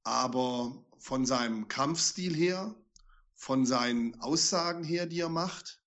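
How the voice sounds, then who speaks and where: A congested man co-hosting a casual combat-sports podcast, his voice still stuffy from a cold. He chats with joking enthusiasm. His pitch sits in an ordinary middle range for a man. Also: takes it slowly, a bit bright. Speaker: podcast co-host.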